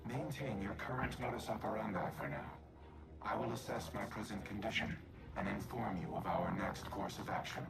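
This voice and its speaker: An actor voicing a solemn AI mind in a robot body for a video game, speaking formally and deliberately with a gravelly voice. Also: male voice, fairly low pitch. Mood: solemn, reflective. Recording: loud music behind voice.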